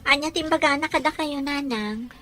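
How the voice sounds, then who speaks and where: An actress with an Ilocano Filipino accent playing a young pregnant wife, weary and slightly petulant, in a radio drama. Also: female voice, sits high, moderate pace, bright, forceful tone, faint music behind. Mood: unwell. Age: young adult.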